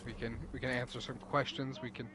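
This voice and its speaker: A man's voice: medium pitch, little pitch movement, brisk, fairly bright tone. A man chatting casually while gaming, wry and teasing, often trailing off mid-thought. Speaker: streamer.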